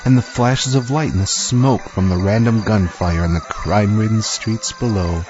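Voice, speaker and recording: A man's voice: fairly low pitch, moderate pace, close to an everyday voice. A man playing a naive, nervous young boyfriend in a comic audio drama, earnest and easily flustered. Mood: lovestruck. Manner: earnest, stammering when flustered. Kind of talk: parody of old teen-movie romance. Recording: muffled lo-fi with music behind.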